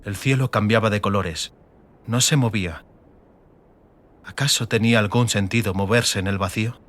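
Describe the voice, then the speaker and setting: Low-voiced man solemnly narrating fiction. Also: fairly bright tone. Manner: solemn, short measured phrases. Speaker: audiobook narrator. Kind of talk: dramatic scripted fiction narration.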